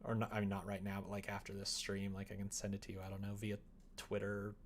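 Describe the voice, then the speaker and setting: A man's voice: on the low side, monotone, fast talker, slight background noise. A man streaming live, chatting casually with viewers and rambling as he thinks aloud.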